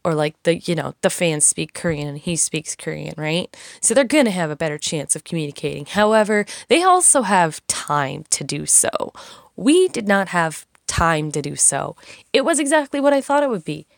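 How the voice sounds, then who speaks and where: A woman's voice: on the low side, big pitch swings, moderate pace, gravelly, no echo, clean studio sound. Frank, rambling young woman venting. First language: English. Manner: rambling, frank, swears casually. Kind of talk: casual monologue, venting.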